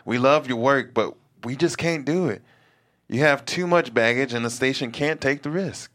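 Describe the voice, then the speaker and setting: A man doing a smooth calm radio boss, kind but firm, table read. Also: mid-range voice, normal speed, natural voice.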